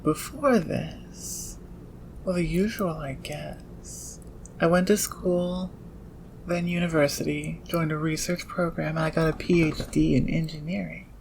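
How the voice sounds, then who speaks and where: An actor in an audio roleplay playing a socially awkward, intense engineer turned thief, speaking in a halting, precise, nerdy way. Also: medium pitch, steady tone, darkish tone, poor audio. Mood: matter-of-fact, frustrated. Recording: clear background ambience noise.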